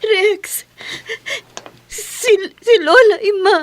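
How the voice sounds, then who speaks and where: Takot, naiiyak na babae, napakataas ang boses. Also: female voice, very high voice, extremely slow, heavily acted. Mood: tearful, frightened. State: tearful. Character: frightened, tearful wife haunted by her grandmother. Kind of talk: Filipino horror radio drama acting.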